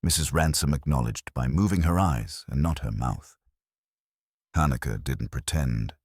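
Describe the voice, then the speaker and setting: A man's voice: extremely low pitch, measured pace, a little mellow, husky, dry recording. A man reading a hard-boiled detective story in the first person as scripted audiobook narration, with a dry, wry manner.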